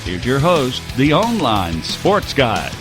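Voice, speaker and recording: A man's voice: mid-range voice, huge pitch swings, slow. A man announcing the scripted introduction of a sports show in a produced promo style. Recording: announcer over loud music bed.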